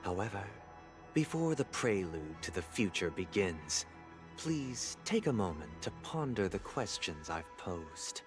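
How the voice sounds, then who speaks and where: A low-voiced, grandiose male villain from a video game. He orates formally and theatrically, with smug solemnity, while soft music plays behind him. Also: sing-song, measured pace. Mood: solemn, composed. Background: background game music.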